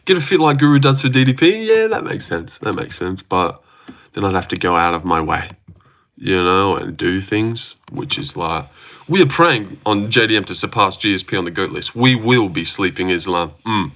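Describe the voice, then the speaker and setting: Aussie guy on a livestream, kinda low voice, rambly and jokey, lots of ums. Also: big pitch swings, normal speed, fairly bright tone. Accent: Australian. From Australia. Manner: rambling and joking, with frequent fillers and restarts. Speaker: livestream host. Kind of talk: casual livestream chat, reading out viewer comments.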